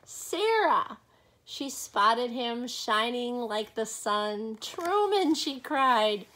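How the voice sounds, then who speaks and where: A middle-aged woman reading a children's picture book aloud expressively, with dramatic pauses and casual asides to young listeners. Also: a little high, very animated, fairly bright tone.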